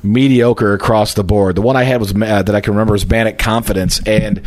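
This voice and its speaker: A man's voice: lowish voice, fairly even intonation, brisk. A man hosting a casual beer podcast, rambling and joking with plenty of fillers and restarts. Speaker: podcast host. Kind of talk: casual chat about beer.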